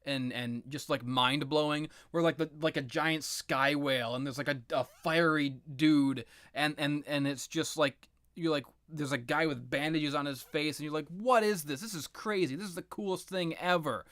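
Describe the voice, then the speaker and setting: A man with a slightly high voice rants off the cuff about video games. He is blunt and exasperated and swears freely. The sound is dry and close, with no room echo. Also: normal speed, few pauses, fairly bright tone. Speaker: video game commentator. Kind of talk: unscripted opinionated rant about video games.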